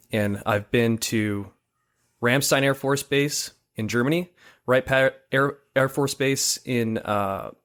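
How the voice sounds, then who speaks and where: A man in his thirties from Hawaii, a guest on a podcast, telling his story in a relaxed, easygoing way. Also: fairly low pitch. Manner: relaxed storytelling, laughs easily. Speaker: guest, military lab technician. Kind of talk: casual interview.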